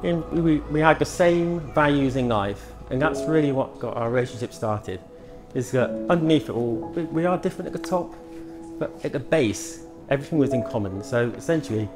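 A man's voice: mid-range voice, animated, a little fast. A middle-aged man giving a playful, conversational, light-hearted groom's speech at a wedding. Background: music.

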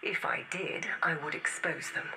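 Low, sharp-edged defiant rebel woman. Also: steady tone, normal speed, in a whisper, rough recording. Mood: grave, conspiratorial. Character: defiant woman rebel leaving a grave final testament. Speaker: voice actor in a game audio log. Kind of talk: dramatic scripted monologue in a science-fiction game audio log.

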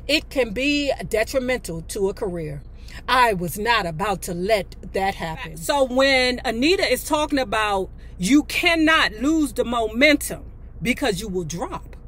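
Bright, high-voiced American woman. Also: slight background noise. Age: 50s. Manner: blunt, sassy, profane. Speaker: video host. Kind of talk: reading a book aloud with casual, blunt commentary. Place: inside a parked car.

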